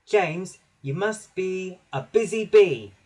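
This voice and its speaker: A man's voice: high-pitched, expressive, slow, a little mellow, pitched above the performer's own voice, exaggerated voice. A man voicing an eager, self-important little male steam engine for a children's storybook, bossy and earnest.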